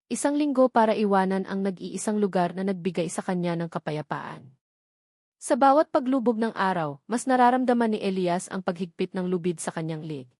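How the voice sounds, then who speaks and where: A woman's voice: mid-range voice, expressive, fairly quick, a little mellow, no room sound. Filipino woman narrating, dry close sound. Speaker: audiobook narrator. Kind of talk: dramatic scripted story narration.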